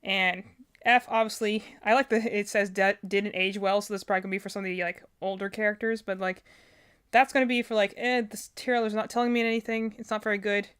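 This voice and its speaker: A woman's voice: medium pitch, fairly even intonation, a little fast, a bit bright, clean tone. A woman hosting a livestream in a casual, rambling way, thinking aloud and often correcting herself as she goes. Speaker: livestream host.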